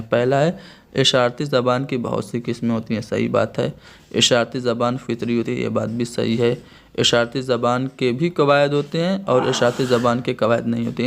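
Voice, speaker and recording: A man's voice: mid-range voice, vocal fry, darkish tone. A man with an Indian accent teaching an online exam-prep lecture, explaining each answer step by step and restating key terms.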